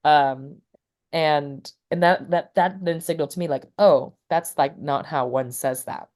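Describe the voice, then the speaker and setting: A woman's voice: low-pitched, unhurried, a little mellow. A woman poet and teacher talking casually in an interview, rambling and full of fillers like "like" and "you know.".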